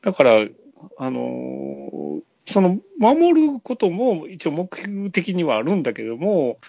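A man's voice: sits high, wildly swooping pitch, slow pace, mellow, husky, no room sound. A man with a Kansai accent chatting casually about films as a podcast co-host, piecing his thoughts together as he goes. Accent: Kansai Japanese. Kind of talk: casual film discussion.

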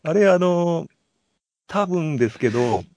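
A man co-hosting a podcast, discussing films casually and politely in short, halting phrases. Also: fairly high pitch, expressive, a little mellow, dry recording. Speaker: podcast co-host.

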